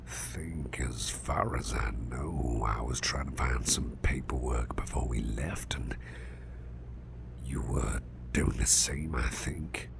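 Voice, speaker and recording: A man playing a gruff, flustered boss in an ASMR roleplay, muttering haltingly in a growly, breathy half-whisper and repeating himself. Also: very deep voice, background noise, exaggerated voice. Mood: fretful, rambling. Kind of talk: ASMR roleplay, spoken softly to the listener.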